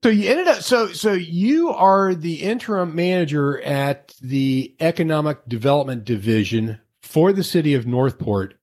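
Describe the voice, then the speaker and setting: A man's voice: a little high, animated, normal speed, few pauses, a little mellow. An American man hosting a casual interview in a chatty, folksy way, rambling into asides. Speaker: interview host.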